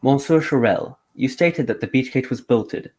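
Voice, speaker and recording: A man's voice: mid-range voice, normal speed, a little mellow, close to an everyday voice. A man playing a shrewd, coolly confident detective in an amateur radio mystery play. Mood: confident, accusing.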